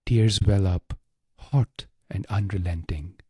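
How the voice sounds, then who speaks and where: A man guiding a scripted meditation in a hushed, solemn, deliberate way, pausing often, close to the microphone. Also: sits low, husky, no echo. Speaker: meditation guide. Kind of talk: slow scripted guided meditation.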